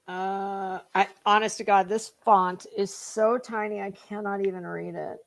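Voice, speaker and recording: A woman's voice: medium pitch, steady tone, measured pace, vocal fry. An American woman doing a dramatic reading mixed with casual, gossipy commentary, blunt and snarky with theatrical emphasis. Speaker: online commentator reading documents aloud.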